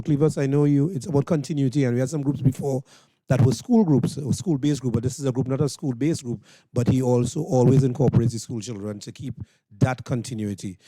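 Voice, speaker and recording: A man's voice: mid-range voice, fairly quick, dark tone, dry recording, poor audio. A man with a Trinidadian accent hosting informal interviews with performers at an event. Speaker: interviewer and host.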